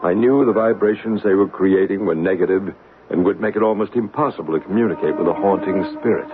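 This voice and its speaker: An actor playing a calm male parasensory investigator in a 1970s radio drama, questioning people in a measured, probing way. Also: low voice, music in the background, natural voice. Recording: thin phone-like old radio broadcast with faint hiss.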